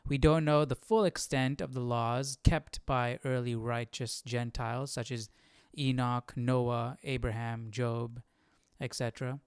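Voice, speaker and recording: A man's voice: mid-range voice, little pitch movement, measured pace, smooth, no echo, clean studio sound. A man hosting a live Bible study, informal and curious, thinking aloud as he chats and reads. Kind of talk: casual livestream chat and reading aloud.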